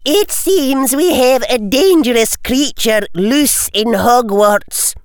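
A man voicing a stern, authoritative senior witch who runs a school in a fantasy audiobook, speaking with deliberate urgency. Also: very high voice, smooth, dry recording, clean studio sound, voice pushed up. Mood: grave, commanding. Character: stern, commanding senior witch, a deputy headmistress.

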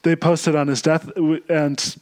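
A man with a slightly higher voice, raised between Austria and the U.S., talks as a guest on a podcast about his own path. He is a monk, and he speaks thoughtfully, often pausing and circling back to find the right word. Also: level tone, a little mellow. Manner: thoughtful, searching for words. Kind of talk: podcast interview.

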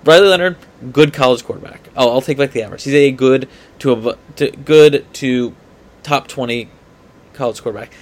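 A man's voice: medium pitch, fairly quick. A man co-hosting a sports podcast in casual debate, often hedging and repeating his points for emphasis.

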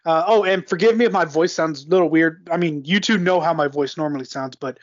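Male guest co-host, high voice, post-cold, joking rambler, dry lo-fi audio. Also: a little fast, no echo. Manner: rambling, joking, many fillers. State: recovering from a cold. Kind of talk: casual chat.

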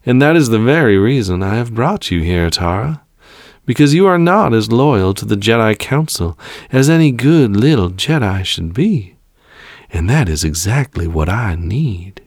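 Casting: male reader as young male space-fantasy villain, smooth, unhurried and sinister, mock-polite, talking down to people. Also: on the low side, very animated, dry recording, studio quality, pitched above the performer's own voice. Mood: condescending, silky. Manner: smooth, drawn-out, condescending.

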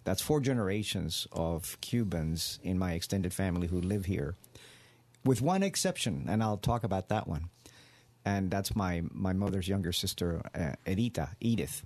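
A man's voice: sits low, lively intonation, moderate pace, darkish tone. An older man from Cuba, a radio guest telling his family story in an earnest, digressive way. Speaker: studio guest.

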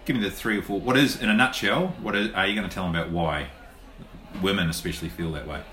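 A man's voice: low-pitched, fast talker, a bit bright. A man with a New Zealand accent hosting a podcast interview, chatty and affirming, with frequent "yeps". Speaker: podcast host. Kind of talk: casual interview. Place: lunch event venue. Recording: roomy, with faint room noise.

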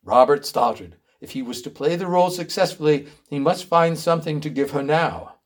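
A man's voice: a little high, moderate pace, lightly acted. An actor playing a sentimental, somewhat pompous male author who narrates his story in a theatrical literary style. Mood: sentimental narration.

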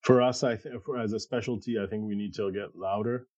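A middle-aged man with a very mellow, husky voice speaks as a thoughtful interview guest. He talks casually and haltingly, repeating words as he works through his thoughts. The recording is close and dry, though a little dull. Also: fairly low pitch, steady tone, no echo. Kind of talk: casual, reflective interview conversation.

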